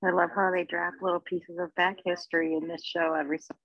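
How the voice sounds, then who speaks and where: A woman's voice: lowish voice, level tone, fast, creaky, a bit bright, slightly rough, no echo, dull audio. A woman podcast panelist chatting casually about a TV show.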